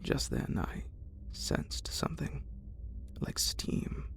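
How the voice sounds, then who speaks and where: A man reads a horror story in the first person as ASMR, in a breathy, gravelly, largely whispered voice. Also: slow pace, a bit bright.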